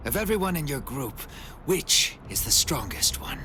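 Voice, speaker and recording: An actor playing a proud, brash wounded fighter in a video game, with a gruff, mocking and dramatic delivery. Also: male voice, fairly high pitch, sing-song, normal speed, pushed and bright, slight background noise. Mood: gruff, grave. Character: brash wounded young male warrior, proud and scornful. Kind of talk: English video game voice acting.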